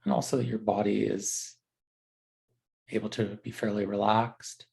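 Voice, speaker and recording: A man leading a guided body scan meditation as a teacher, speaking gently and unhurriedly in a halting, unscripted way with frequent pauses. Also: lowish voice, level tone, creaky.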